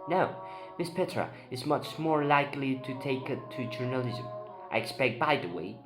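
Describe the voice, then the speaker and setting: A man's voice: mid-range voice, expressive, normal speed. A young man giving an amateur dramatic reading of a play. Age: young adult. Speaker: student reader of all parts. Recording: voice over prominent background music.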